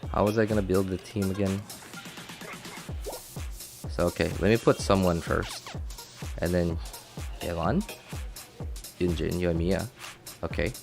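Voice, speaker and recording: Low-voiced man streaming a game. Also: animated, measured pace, vocal fry, pauses often, over music. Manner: chatty, reacts aloud, thinks out loud. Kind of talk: casual live gameplay commentary.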